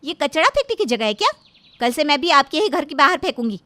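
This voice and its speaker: A woman's voice: sits high, sing-song, fast talker, a bit bright, no room sound. A woman voicing a feisty, outspoken young daughter-in-law in a cartoon story, blunt and scolding. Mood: indignant.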